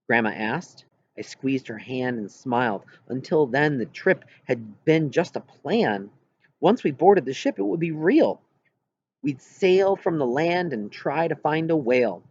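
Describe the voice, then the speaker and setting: A man reading a story aloud as an audiobook narrator, with an expressive scripted delivery. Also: a little high, measured pace, highs cut off, a little hiss or hum.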